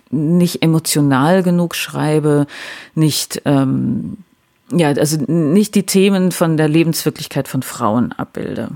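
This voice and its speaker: A woman's voice: deep voice, a little mellow. A woman crime novelist answering interview questions in a reflective, wry way, with frequent hesitations and restarts. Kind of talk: casual interview talk.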